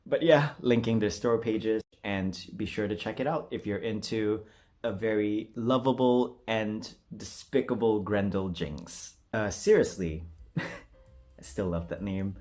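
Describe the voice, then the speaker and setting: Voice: mid-range voice, unhurried, darkish tone. A vlogger chatting casually in a self-deprecating, hesitant, giggly manner. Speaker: vlogger doing a voice acting challenge. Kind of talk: casual, chatty vlog talk.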